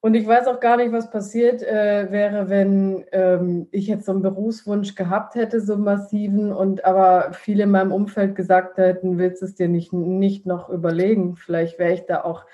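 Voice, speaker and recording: Hesitant woman interviewer, mellow voice. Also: mid-range voice, level tone, moderate pace, hardly any pauses, dull audio. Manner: hesitant, many fillers.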